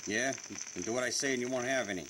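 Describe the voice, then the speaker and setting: A man's voice: medium pitch, fast, close to an everyday voice. Man acting a menacing New mobster, hissy recording. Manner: slangy, wisecracking, menacing. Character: tough, sneering 1940s New York mobster with a gun. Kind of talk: 1940s radio crime drama acting. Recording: noisy recording with sizzling hiss.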